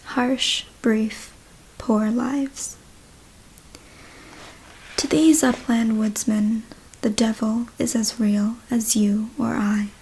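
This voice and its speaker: A woman reading a story as ASMR in a soft, breathy whisper, hushed and deliberate. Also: a little high, steady tone, low-quality recording. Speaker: ASMR reader.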